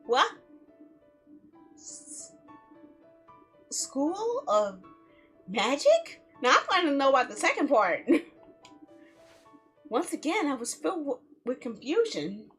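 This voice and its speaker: A woman game streamer reading a visual novel aloud in a theatrical, expressive way, with playful asides. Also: sits high, slow pace, pauses often. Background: background music.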